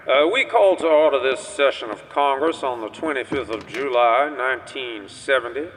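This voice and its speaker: A man's voice: mid-range voice, moderate pace, fairly bright tone, close to an everyday voice. An actor playing a formal congressional chairman calling a session to order in an audio drama. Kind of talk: formal procedural announcement. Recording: echoing hall, faint noise.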